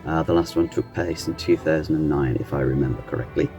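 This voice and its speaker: A man hosting a reaction channel, chatting casually in a halting, musing way. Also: a bass voice, fairly even intonation, warm tone, faint music behind. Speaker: host of a reaction video channel. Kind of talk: casual chat reacting to analog horror videos.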